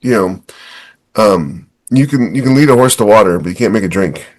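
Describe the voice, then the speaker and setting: A man's voice: fairly low pitch, lively intonation, a little fast. A man co-hosting a podcast in casual banter, with a wry, blunt way of talking. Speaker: podcast co-host and author.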